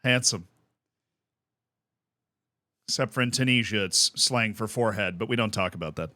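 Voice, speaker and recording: An American man live-streaming a game, commenting excitedly, shouting at big moments and cracking jokes in between. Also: lowish voice, moderate pace, dry recording. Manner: excitable, shouts at goals, jokey asides.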